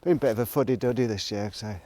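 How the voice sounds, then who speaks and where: A man talking casually to a vlog audience in a reflective, rambling monologue, musing haltingly and trailing off between thoughts. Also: fairly low pitch, dark tone. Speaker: vlogger. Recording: noticeable background noise, clicks.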